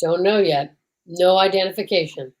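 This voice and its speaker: A woman playing a gruff male police detective in an old-time radio play, speaking in a dry, sardonic, lowered voice. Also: normal speed, poor audio. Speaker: supporting actor in a radio play.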